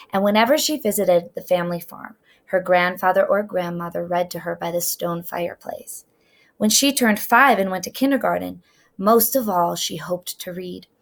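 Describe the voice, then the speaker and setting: A middle-aged woman reading a children's story aloud with deliberate, expressive, dramatic phrasing. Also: fairly low pitch.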